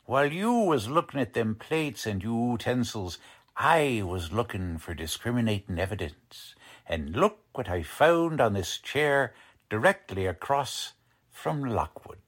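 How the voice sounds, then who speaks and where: An actor playing a cocky, condescending police lieutenant in a 1940s-style radio drama, brusque and slangy. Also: male voice, medium pitch, very animated, a little slow. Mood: smug. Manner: brusque, slangy, ungrammatical tough-cop talk.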